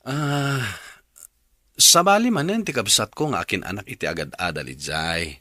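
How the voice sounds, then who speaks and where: A man with an Ilocano Filipino accent playing an irritable, hard-drinking husband in a radio drama, gruff and argumentative. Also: lowish voice, expressive, normal speed, bright, forceful tone, dry recording, clean studio sound. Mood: grumbling.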